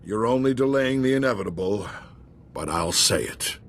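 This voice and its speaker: An actor playing a weary, honorable older military commander in a video game, gruff and plain-spoken. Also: male voice, on the low side, expressive, normal speed, a little hiss or hum. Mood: weary, conceding. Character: weary, gruff, honorable old army marshal. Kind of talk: dramatic video game voice acting.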